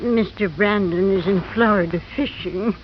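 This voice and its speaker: A woman's voice: mid-range voice, normal speed, natural voice. A woman playing a chatty, fretful older small-town woman, sympathetic and confiding, in 1950s radio drama style. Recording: muffled, noisy vintage radio recording.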